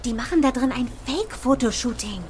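Teen girl sleuth, eager questions, high and swoopy, radio drama with ambience behind. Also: expressive, brisk, background noise. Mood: curious.

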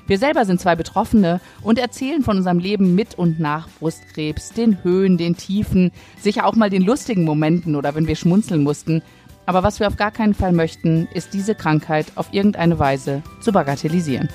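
A woman co-hosting a podcast, delivering a scripted intro in a measured, earnest way. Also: fairly low pitch, warm tone, soft background music. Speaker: podcast co-host.

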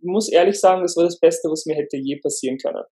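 A man from Lower Austria, a speech therapist talking as a podcast guest, pausing often with fillers; his is Turkish. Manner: hesitant, many fillers, relaxed. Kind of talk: conversational interview.

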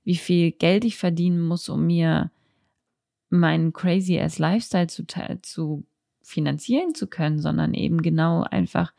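A woman's voice: on the low side, moderate pace, creaky, warm tone, no room sound, clean studio sound. A thirty-year-old woman hosting a podcast, speaking in a reflective, candid, unscripted personal monologue. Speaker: podcast host. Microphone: handheld microphone, close.

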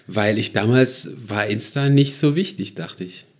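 A man with a southern German accent, a comedian guest in casual chat, relaxed and dry with short answers. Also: lowish voice, unhurried.

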